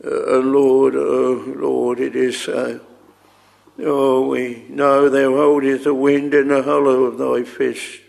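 A man leading an extempore public prayer, solemn and halting, in archaic thee-and-thou language. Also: mid-range voice, level tone, vocal fry, darkish tone.

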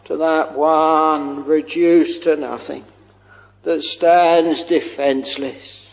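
A man preaching a slow, fervent sermon, drawing out his words and sometimes lifting into a chant-like lilt. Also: on the high side, steady tone, gravelly. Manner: drawn-out, intoning, breaks into a chant-like lilt. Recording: dull lo-fi recording with long hall reverb.

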